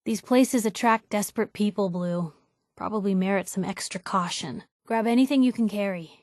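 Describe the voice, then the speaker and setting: An actress playing a sardonic, plucky young reporter with an American accent, making casual companion remarks in a video game. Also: female voice, medium pitch, moderate pace, a little mellow, dry recording, clean studio sound, close to an everyday voice. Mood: wry, cautious. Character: wry, plucky young female reporter in a post-nuclear wasteland.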